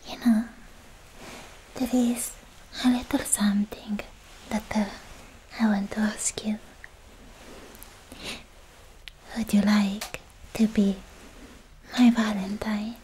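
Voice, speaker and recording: A woman playing a shy, lovestruck young demon slayer in a whispered, halting ASMR roleplay, sweet and romantic, close on the microphone. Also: medium pitch, a little hiss or hum, poor audio. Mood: bashful, tender. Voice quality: soft, breathy whisper. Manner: hesitant, bashful, halting with many pauses.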